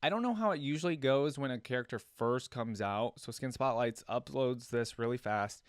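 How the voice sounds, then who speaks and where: A man reacting casually to game voicelines, talking like a relaxed video host. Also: medium pitch, moderate pace, smooth, no echo, studio quality.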